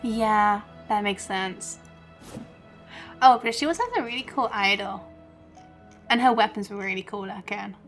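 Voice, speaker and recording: A woman's voice: mid-range voice, a little slow, long pauses, a bit bright. A woman game streamer giving casual, chatty, giggly commentary as she plays. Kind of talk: casual live gameplay commentary. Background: game music.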